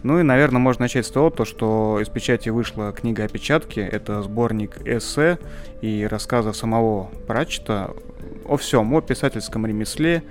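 A man's voice: on the low side, normal speed, soft background music. A man hosting a podcast, chatting casually about books and often searching for his words. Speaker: podcast host. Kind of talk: casual book chat.

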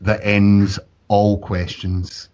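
Lowish-voiced man, slightly muffled. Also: flat delivery, extremely slow, a little mellow. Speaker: podcast host. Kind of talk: casual podcast chat.

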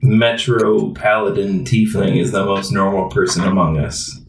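A man's voice: on the low side, steady tone, unhurried, gravelly. A man in his twenties, a tabletop game player who talks in a dry, understated way. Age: 20s. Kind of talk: casual chat among players. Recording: echoey room, faint noise.

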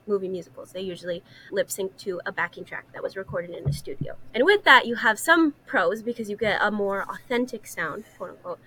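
Young female podcast guest, average pitch, casual and opinionated discussion. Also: sharp tone, low-quality recording. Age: young adult.

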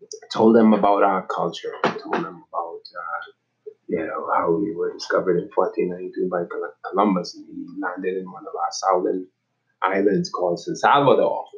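Bahamian man, 40s, nonprofit director; halting delivery, deep voice with a dull lo-fi sound. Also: fairly even intonation, creaky. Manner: halting, restarts and repeats words. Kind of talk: casual personal introduction.

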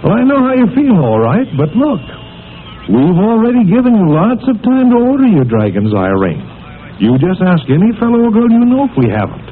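A man's voice: high voice, huge pitch swings, fairly quick, phone-quality sound, a little hiss or hum. A man announcing and narrating in emphatic, punchy 1940s radio style for young listeners, heard on an old broadcast recording. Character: peppy announcer of a boys' radio adventure serial.

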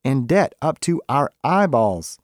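A man's voice: mid-range voice, big pitch swings, slow, dry recording, studio quality, voice pushed up. A man voicing an envious, weary, outspoken wife who complains in blunt colloquial talk, in a story reading. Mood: complaining.

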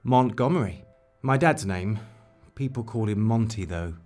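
A man playing a flustered, evasive suburban neighbour in a dark comedy audio drama, nervous, over-polite and hesitant. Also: lowish voice, measured pace, soft background music, natural voice. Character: flustered, evasive suburban male neighbour caught lying to police.

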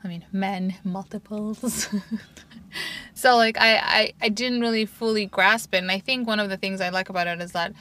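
Woman from turkey in her 40s, bright clear voice, chatty, says "like" a lot. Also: medium pitch, normal speed. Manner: chatty, many "like" fillers, animated. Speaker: podcast co-host. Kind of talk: casual chat.

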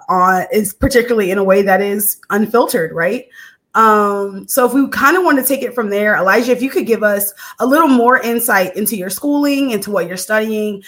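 A young woman from Texas hosts a podcast and speaks in an earnest, measured way. Her voice sits in an ordinary middle register, and she leans on small fillers between her thoughts. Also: mid-range voice, fairly bright tone. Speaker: podcast host. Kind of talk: podcast interview.

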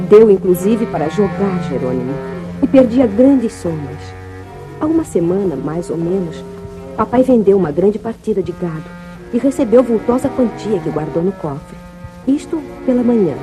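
A woman's voice: medium pitch, close to an everyday voice. A woman playing a grieving, loyal ranch daughter telling her story solemnly in a 1950s Brazilian radio drama. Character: grieving, loyal young ranch woman recounting family tragedy.

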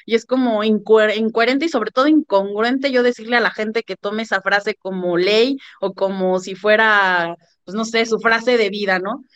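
A woman, a podcast guest arguing her point with emphatic, lively storytelling. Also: on the high side. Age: 30s. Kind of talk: conversational podcast talk. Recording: muffled lo-fi sound.

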